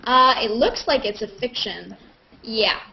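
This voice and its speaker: A woman's voice: a little high, a little slow, gravelly. A woman casually hosting a live group chat over a web video call, with a playful manner. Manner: playful, prone to laughing. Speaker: host of a live online hangout. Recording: dull, noisy web call audio.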